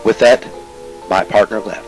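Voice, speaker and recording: A man playing a world-weary, deadpan police detective narrating a hardboiled crime parody, with music behind. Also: on the low side, measured pace, voice pushed down, lightly acted. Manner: deadpan, clipped.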